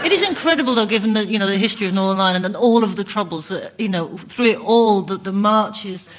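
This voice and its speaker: A woman's voice: mid-range voice, quick pace. A woman chatting casually and comically as a guest on a comedy panel show.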